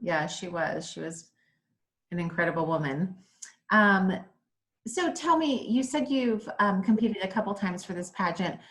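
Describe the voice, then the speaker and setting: A woman's voice: medium pitch, unhurried, a bit bright. A woman from Hawaii hosting an interview, chatty and upbeat, readily agreeing with her guest. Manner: upbeat and chatty, affirming answers. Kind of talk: friendly interview.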